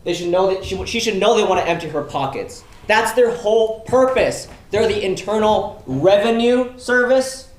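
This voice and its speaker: Cynical, ranting loan shark played by a stage actor; very lively delivery, live room with some noise. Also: medium pitch, normal speed, hardly any pauses, natural voice. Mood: scornful ranting. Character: indignant, cynical loan shark running shady businesses. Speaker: actor in a school play. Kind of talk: stage play dialogue. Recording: roomy live theater, audible noise.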